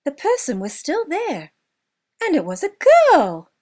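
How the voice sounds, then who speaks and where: High-pitched, slow, animated woman storyteller. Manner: expressive storyteller delivery with deliberate emphasis. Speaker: author narrating own children's story. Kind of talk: scripted children's story narration.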